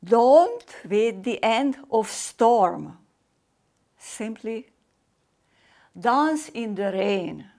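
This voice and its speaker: An older woman from Hungary with a Hungarian accent, giving a formal welcome speech in slow, halting English as a competition's artistic director, filmed indoors. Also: a little high, big pitch swings, creaky, a little mellow. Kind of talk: formal video welcome address. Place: indoor room with painting behind.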